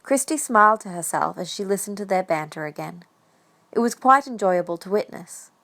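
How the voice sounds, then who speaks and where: A woman's voice: mid-range voice, animated, normal speed, vocal fry, fairly bright tone. A woman reading scripted story narration as the narrator of a fan audio drama.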